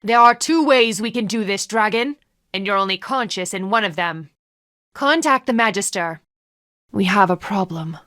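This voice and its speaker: A woman's voice: medium pitch, animated, moderate pace, a bit bright, no echo, studio quality, close to an everyday voice. An actress voicing a stern, haughty female panda palace enforcer in a video game, formal, commanding and disdainful. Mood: stern, official.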